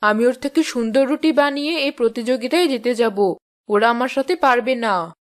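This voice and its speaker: A woman's voice: on the high side, moderate pace, lightly acted. A woman playing a boastful, mocking eldest daughter-in-law in a fairy-tale cartoon. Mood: smug. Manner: smug, mocking.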